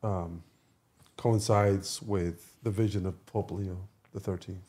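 A man with a fairly low voice co-hosts a podcast. He speaks in a casual, agreeable way, often doubling up short words and phrases as he goes. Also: fairly even intonation, slow, warm tone. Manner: agreeable, interjects short affirmations, repeats words. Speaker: podcast co-host. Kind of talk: casual chat.

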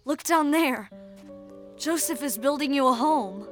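Reassuring mother, high voice, slow delivery, tender, audio drama, music behind. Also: lively intonation. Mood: tender joy.

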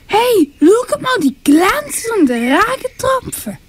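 A wistful, friendly little elephant, played by a schoolchild, speaks very high with lively swings and a Swiss German accent. It is a homemade radio play with faint noise behind. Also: slow, full character voice. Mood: eager, calling. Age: child or teenager. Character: Elmar, friendly young elephant, wistful and overlooked.

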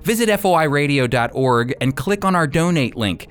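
A man's voice: on the high side, lively intonation, moderate pace, smooth. Male radio host appealing over music. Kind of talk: scripted donation appeal to listeners.

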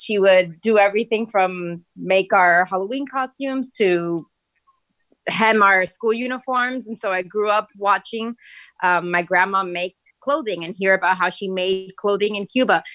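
A woman's voice: mid-range voice, a bit bright, dry recording. Woman from Miami, close dry recording. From Miami, United States. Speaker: interview guest, fashion entrepreneur. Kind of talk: conversational personal storytelling.

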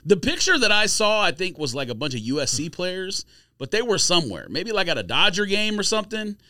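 A man's voice: high-pitched, sing-song, brisk, pushed and bright, no room sound. An American man co-hosting a podcast, debating casually in an emphatic, slangy way. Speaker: podcast co-host.

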